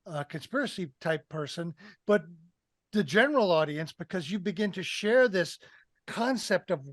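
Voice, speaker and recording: A man's voice: high voice, expressive, normal speed, fairly bright tone, no room sound. A man in his sixties hosting a film review discussion, talking emphatically and repeating phrases for effect. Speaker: podcast host. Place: indoor room on a video call. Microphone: headset.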